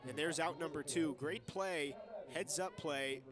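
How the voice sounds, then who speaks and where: A young man doing live softball play-by-play as the lead commentator, in clipped, present-tense calls. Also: fairly high pitch, measured pace, crowd noise. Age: young adult.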